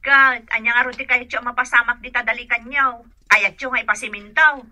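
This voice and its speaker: A woman's voice: a little high, steady tone, fairly quick, pushed and bright, a little hiss or hum. A woman playing a young, worried and exasperated daughter in a comedy radio drama, with an Ilocano accent.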